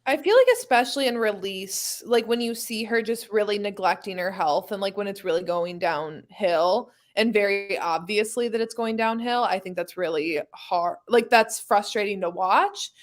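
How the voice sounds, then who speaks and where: A woman's voice: medium pitch, moderate pace, few pauses. A woman co-hosting a book chat, speaking in an informal, conversational way. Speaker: book club live show co-host. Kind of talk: casual book discussion chat.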